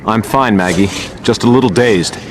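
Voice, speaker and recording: An actor playing a steady, earnest, confident superhero in a video game cutscene. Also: male voice, on the low side, normal speed, background noise, close to an everyday voice. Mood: determined. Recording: music behind the voice.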